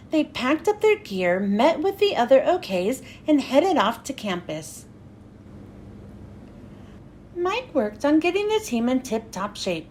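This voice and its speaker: Female kids' read-aloud host: expressive, very lively intonation, high voice, slightly noisy recording. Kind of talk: children's storybook read-aloud.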